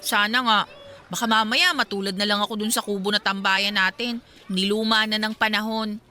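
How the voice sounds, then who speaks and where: A woman's voice: medium pitch, steady tone, a little fast, sharp tone, close to an everyday voice. A woman playing a single young woman secretly pining for her friend in a Filipino radio drama, speaking in a casual, teasing, colloquial way. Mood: wistful. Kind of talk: Tagalog radio drama dialogue.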